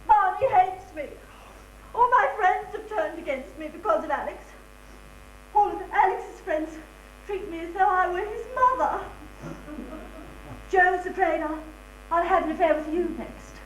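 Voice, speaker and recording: Woman acting a shrill, tearful, melodramatic abandoned lover on stage. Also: lively intonation, unhurried, long pauses, fairly bright tone, full character voice. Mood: tearful despair. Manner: tearful, wailing, theatrical delivery. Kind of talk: amateur stage comedy acting. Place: theatre stage, recorded live. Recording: echoey hall recording with faint hum.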